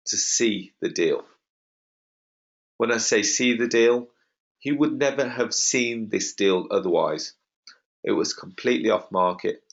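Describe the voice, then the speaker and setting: A man hosting an online property webinar, slightly croaky, talking in a confident and emphatic sales manner. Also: on the low side, a bit bright. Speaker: webinar host. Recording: dull lo-fi web call audio.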